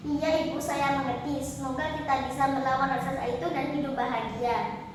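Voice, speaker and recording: A female storyteller as a high-voiced village daughter. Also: fairly even intonation, fairly quick, lightly acted. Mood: obedient. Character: sweet, obedient young village daughter. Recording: echoey hall, distant mic, faint noise.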